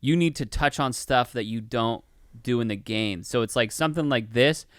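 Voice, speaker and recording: A man's voice: mid-range voice, moderate pace, clear, resonant tone, no echo, clean studio sound. A man co-hosting a podcast in casual chat, rambling and peppering his talk with "like" and swearing. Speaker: podcast co-host. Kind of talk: casual chat, film review.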